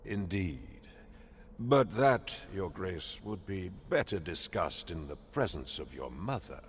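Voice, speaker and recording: An actor playing a grave, discreet royal counsellor in a video game, formal and courtly. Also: male voice, sits low, sing-song, slow, full character voice. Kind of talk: video game cutscene acting. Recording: long hall reverb, faint noise.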